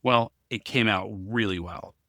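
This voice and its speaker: A male podcast co-host in relaxed back-and-forth discussion. His voice sits fairly low yet cuts through brightly, with a dry, close recording. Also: measured pace, dry recording. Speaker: podcast co-host, story writer and amateur voice actor.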